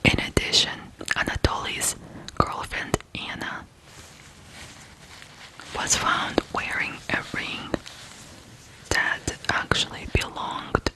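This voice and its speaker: An ASMR host from Ukraine whispering a true crime story in a hushed, deliberate way with pauses. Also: low-quality recording.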